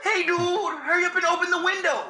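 A man voicing an excitable, mischievous young boy puppet who talks in a blithe, slangy, egging-on way. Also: very high voice, a little fast, roomy sound, full character voice.

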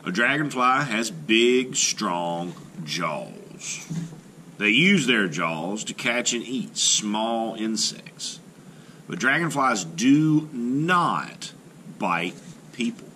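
A man reading a children's picture book aloud as a teacher, slow and careful, with rising emphasis, recorded indoors at a table by an overhead camera. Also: lowish voice, expressive, pushed and bright, slight background noise.

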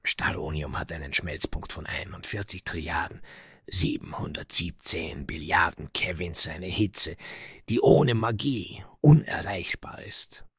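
An actor playing a somber, proud dwarf smith in a fantasy audio drama, grave and dramatic with breathy, hushed phrases and a husky voice. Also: male voice, sits low, measured pace, dry recording, clean studio sound, full character voice. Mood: proud, wistful.

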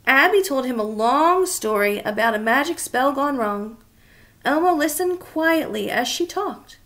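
A woman's voice: sits high, animated, measured pace, fairly bright tone. A woman in her thirties reading a children's story aloud expressively and asking the young listeners questions. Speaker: children's read-aloud reader. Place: small indoor room.

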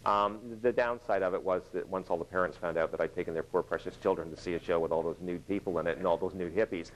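Theater producer guy talking in an interview, really deep voice, relaxed and chatty. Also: fast, low-quality recording. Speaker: artistic producer. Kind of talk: interview answers.

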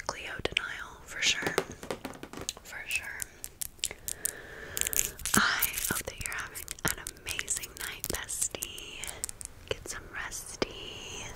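A woman whispering in a breathy, unvoiced voice, chatting informally to viewers as friends in a casual ASMR storytime. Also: fairly low pitch, poor audio. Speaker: ASMR video creator and host.

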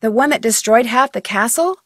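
Woman playing a young girl detective in a game, curious n skeptical, slightly high voice. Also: a little fast, no echo, studio quality, natural voice. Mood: curious, doubtful. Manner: questioning. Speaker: video game character. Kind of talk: game dialogue.

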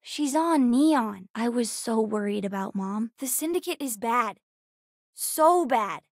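A bright, bookish young girl for a sci-fi video game. Her voice is very high, with an eager, earnest, chatty way of talking. The sound is close and dry, with no room on it. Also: animated, slow, no room sound, full character voice. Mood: worried, subdued. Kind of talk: scripted video game voice lines.

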